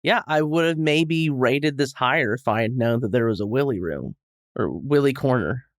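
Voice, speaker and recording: A man on a review podcast, chatting casually and joking in a halting, rambling way. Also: mid-range voice, expressive, no room sound, clean studio sound. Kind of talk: casual comedic chat.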